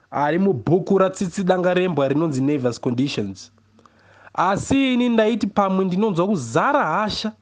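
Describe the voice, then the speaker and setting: Higher-pitched Zimbabwean man reading literary prose. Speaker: audiobook reader, poet. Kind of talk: literary narration read aloud.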